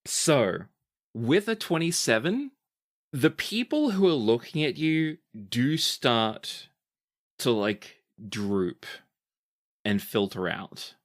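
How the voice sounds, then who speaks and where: Animated man running a tabletop game. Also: slow pace. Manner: lively, shifting pitch as he narrates. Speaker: game master.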